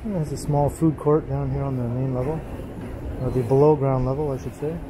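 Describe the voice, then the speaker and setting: A man giving an unhurried, casual running commentary while walking through an indoor mall, filmed on a handheld camera. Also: medium pitch, background noise. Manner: relaxed, unhurried commentary with pauses. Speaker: walking tour vlogger. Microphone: handheld gimbal camera.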